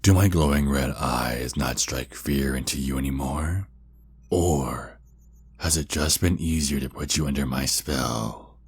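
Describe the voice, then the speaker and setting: Man voicing a gravelly, very deep vampire captor. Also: full character voice. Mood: seductive, hypnotic. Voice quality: gravelly, husky, breathy. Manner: slow, intimate, deliberate, with drawn-out pauses. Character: possessive, menacing male vampire kidnapper, seductive and taunting. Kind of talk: ASMR roleplay acting.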